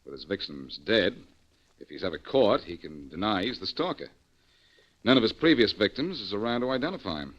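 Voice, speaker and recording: A dull vintage radio recording of a cocky American private eye. His delivery is animated and quick-witted, full of breezy needling, in old-time radio drama style. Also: on the low side. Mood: serious, explaining. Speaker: lead actor in a detective radio drama.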